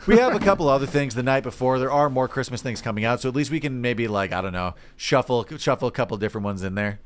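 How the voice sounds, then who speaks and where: Male podcast co-host, very lively intonation, mid pitch, casual, halting delivery. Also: slight background noise.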